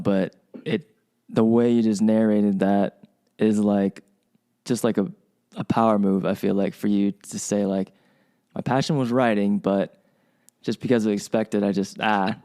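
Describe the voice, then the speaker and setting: Male podcast co-host, mellow low voice, loose conversational delivery. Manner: casual, rambling, many restarts. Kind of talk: podcast interview.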